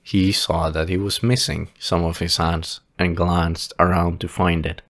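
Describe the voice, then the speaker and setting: A man's voice: sits low, little pitch movement, unhurried, fairly bright tone. A man narrating a scripted fantasy audio play as a dramatic storyteller. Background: music.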